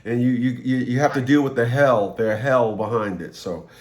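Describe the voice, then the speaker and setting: A man's voice: mid-range voice, normal speed. A man hosting a casual advice consultation, talking bluntly and colloquially and often repeating his words.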